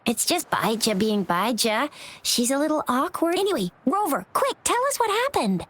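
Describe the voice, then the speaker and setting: An actress playing a lively, chatty young female companion in a video game, casual, eager and expressive. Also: high-pitched, moderate pace. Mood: cheerful, eager. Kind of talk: animated game dialogue.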